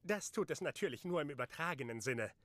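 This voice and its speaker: Smug pompous banker guy in a game, bouncy high-ish voice, real dry sound. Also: animated, quick pace, a bit bright, no room sound. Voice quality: pompous, patronizing. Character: smooth, condescending male banker. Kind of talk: game voice acting.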